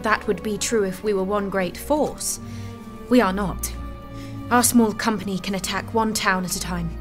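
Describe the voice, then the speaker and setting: A woman's voice: mid-range voice, steady tone, moderate pace, over music, close to an everyday voice. A woman playing a brave, devout young maiden commander in an audio drama, speaking formally and earnestly. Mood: resolute.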